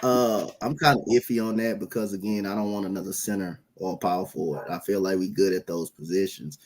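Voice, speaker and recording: A man's voice: sits low, steady tone, brisk, a little mellow. A man hosting a casual basketball podcast, laid-back and rambling through his opinions. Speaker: podcast host. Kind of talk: casual sports podcast chat.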